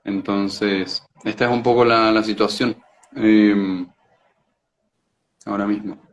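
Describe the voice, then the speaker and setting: A man's voice: on the low side, flat delivery, slow pace. A man, talking as an interview guest. He explains in a reflective, conversational way, often hesitating and doubling back on words as he searches for the right one. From Israel.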